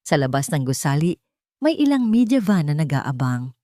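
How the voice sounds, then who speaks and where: A woman's voice: low voice, very animated, normal speed, dark tone, no room sound. A woman reading a scripted dramatic story as an audiobook narrator.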